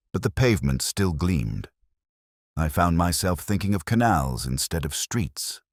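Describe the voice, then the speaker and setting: Male narrator, very low register with expressive movement, reflective first-person crime fiction, close dry studio sound. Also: no echo. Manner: measured, literary, reflective. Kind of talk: scripted first-person detective story narration.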